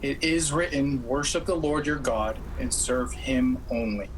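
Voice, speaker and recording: An actor playing a devout, unyielding young man, speaking in a measured, earnest and firm manner in a radio play. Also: medium pitch, fairly even intonation, lightly acted. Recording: faintly noisy home video-call recording.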